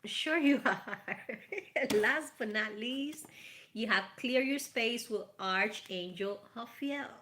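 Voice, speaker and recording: A woman's voice: on the high side, slow, pushed and bright. A woman from Puerto Rico with a Puerto Rican accent and Spanish as her first language, hosting a casual tarot reading and speaking chattily and affectionately to her viewers. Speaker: tarot reader and channel host. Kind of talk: casual live tarot reading with spiritual advice.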